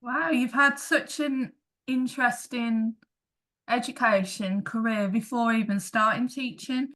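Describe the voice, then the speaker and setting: A woman hosts a casual interview. Her voice is notably bright and sits a little high. She puts questions conversationally and offers short reactions. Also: fairly even intonation, a little slow, low-quality recording.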